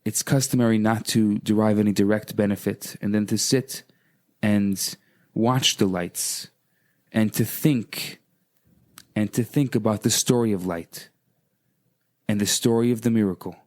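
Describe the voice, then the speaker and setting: Guy rabbi co-hosting radio, lowish voice, explaining stuff, bit of music. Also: fairly even intonation, unhurried, a little mellow.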